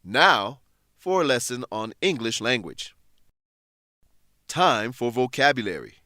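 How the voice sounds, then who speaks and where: A man's voice: mid-range voice, sing-song, takes it slowly, pushed and bright, no echo, clean studio sound. A man narrating a radio drama and teaching vocabulary in a scripted, explanatory way, speaking directly to listeners. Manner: clear, explanatory, addressing listeners.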